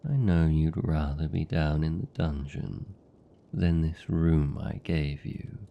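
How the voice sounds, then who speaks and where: A man's voice: a bass voice, gravelly, dull audio, full character voice. A British man playing a seductive, possessive vampire captor in an intimate fantasy ASMR roleplay, teasing and condescending in manner. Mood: amused, teasing. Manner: slow, teasing, condescending, velvety menace.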